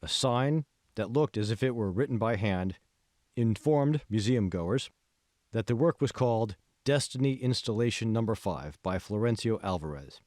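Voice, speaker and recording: A man reading scripted fiction as an audiobook narrator, in a measured, phrase-by-phrase way. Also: on the low side, lively intonation, darkish tone, dry recording, clean studio sound. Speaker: author reading his own audiobook.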